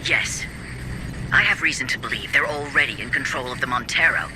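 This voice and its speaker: A man playing a courteous, calculating corporate director in a video game, formal and deliberate, over noisy ambience. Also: medium pitch, natural voice. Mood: grave, measured. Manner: formal, smooth, deliberate phrasing. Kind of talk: cinematic video game acting.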